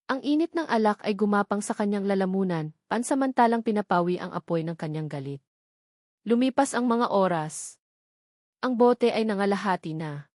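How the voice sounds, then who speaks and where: Female Filipino storyteller for romance drama narration; mid-pitched, dramatic scripted read, dry close-mic sound. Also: darkish tone, no room sound.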